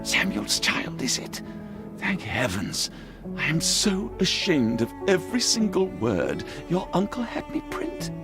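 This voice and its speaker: A man's voice: high voice, wildly swooping pitch, unhurried, over music, heavily acted. A man playing a meek, earnest, eager-to-please printer in a video game, hesitant and apologetic in his speech. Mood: relieved, ashamed. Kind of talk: video game character dialogue.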